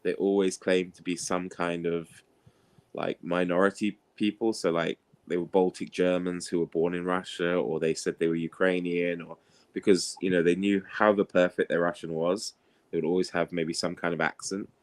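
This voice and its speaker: Casual male talker, low register, loose anecdotal delivery for an informal book discussion. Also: little pitch movement, normal speed, a little mellow. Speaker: participant in an online book discussion. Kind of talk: casual chat, anecdotal storytelling.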